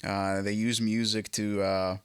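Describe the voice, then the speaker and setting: Guest: man from Egypt, late 30s, lowish voice, dry close sound, stop-start delivery. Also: flat delivery, smooth, dry recording. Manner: halting, many fillers and restarts. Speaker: guest guitarist. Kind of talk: casual interview.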